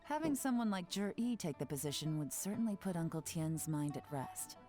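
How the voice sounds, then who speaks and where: A woman's voice: on the low side, animated, moderate pace, warm tone, soft background music, close to an everyday voice. A woman playing a cool, shrewd young strategist in a video game, articulate and composed. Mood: assured.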